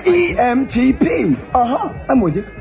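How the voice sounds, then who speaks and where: Music plays behind an actor as a breezy corporate guest with a high male voice. He talks in slick, casual, clipped phrases, in a slightly dull-sounding audio comedy. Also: sing-song, unhurried, darkish tone, heavily acted. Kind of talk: audio drama comedy acting.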